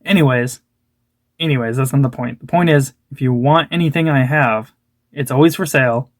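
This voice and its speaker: A man's voice: mid-range voice, moderate pace, no echo, studio quality. A young man chatting informally in a rambling show-and-tell vlog, often interrupting himself as he goes. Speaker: antiques dealer and video host.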